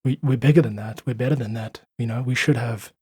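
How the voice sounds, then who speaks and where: A man with a husky voice, recorded close and dry. He talks casually but reflectively, as a musician guest who leans earnestly on his points. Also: mid-range voice, fairly even intonation, brisk, a little mellow, no room sound. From South Africa. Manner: earnest, philosophical, emphatic repetition. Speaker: podcast guest, independent musician.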